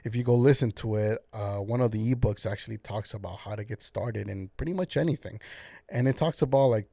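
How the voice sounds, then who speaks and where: A young man with a warm, mellow voice talks on his own as a podcast host. He sounds casual and encouraging and keeps rambling with plenty of uhs. The sound is dry and close. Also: on the low side, brisk, vocal fry, no echo. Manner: encouraging, rambling with many fillers. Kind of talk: casual solo podcast monologue.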